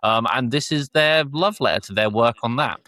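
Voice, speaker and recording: A man's voice: medium pitch, normal speed, bright, forceful tone, no echo. A man hosting a podcast, recapping and discussing in a casual, conversational way. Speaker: podcast host.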